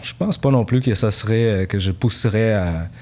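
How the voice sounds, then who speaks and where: A man in his forties from Portugal, a company founder chatting as a podcast guest in a relaxed way, with many fillers and restarts. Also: fairly low pitch, moderate pace. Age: 40s. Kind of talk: casual podcast interview.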